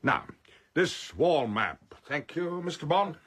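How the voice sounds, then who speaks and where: An actor playing a pompous criminal mastermind in a radio play, speaking with precise, formal, grandiose diction. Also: male voice, fairly high pitch, sing-song, slow pace, fairly bright tone, breathy edge, full character voice. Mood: commanding.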